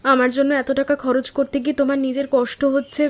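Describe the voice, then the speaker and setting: A woman voicing an earnest, concerned young student sister in an animated story. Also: high voice, a little fast, slight background noise, natural voice. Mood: grateful, earnest. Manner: earnest, pleading.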